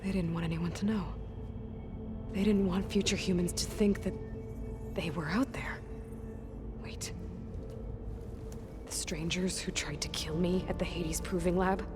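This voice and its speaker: A woman playing a determined, wary young hunter in a video game, asking earnest questions and haltingly thinking aloud. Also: on the low side, fairly even intonation, over music, close to an everyday voice. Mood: stunned, uneasy. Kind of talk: naturalistic video game acting.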